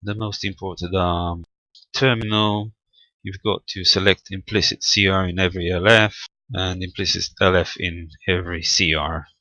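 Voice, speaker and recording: Low-pitched man explaining technical gear, crisp tone. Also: flat delivery, bright, forceful tone, no room sound, low-quality recording. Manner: halting, methodical, explanatory. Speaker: amateur radio hobbyist presenting equipment. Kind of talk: unscripted screen-recorded technical walkthrough.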